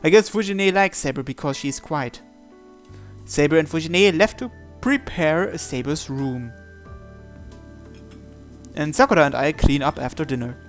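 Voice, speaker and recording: A man's voice: fairly high pitch, unhurried, long pauses, soft background music. A man reading visual novel narration aloud as he plays, with casual asides. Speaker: video game player reading along.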